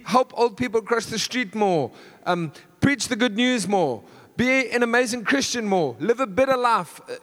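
Male pastor, husky and fairly high, casual asides, punchy emphatic runs; church reverb. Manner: conversational, self-deprecating, builds to emphatic lists. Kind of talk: sermon. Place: church hall. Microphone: handheld stage mic.

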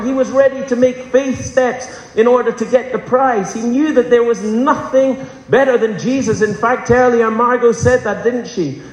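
A man preaching a sermon to a congregation, impassioned, often asking the room rhetorical questions. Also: very high voice. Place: large church hall. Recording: echoey church hall with long reverb.

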